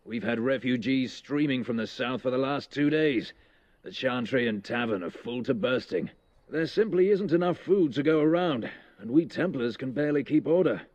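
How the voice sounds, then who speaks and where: A man's voice: medium pitch, animated, moderate pace, darkish tone, close to an everyday voice. A man voicing a stern, weary armored knight in a fantasy video game, formal and cautioning, in slightly muffled audio. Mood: grave warning.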